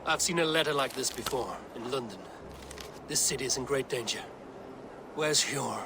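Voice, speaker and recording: Actor as steady Viking warrior amid noisy ambience. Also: male voice, mid-range voice, normal speed, gravelly, lightly acted. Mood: watchful. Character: steady, reassuring Viking warrior, male, watchful and honourable. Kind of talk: video game dramatic acting. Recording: loud game ambience behind voice.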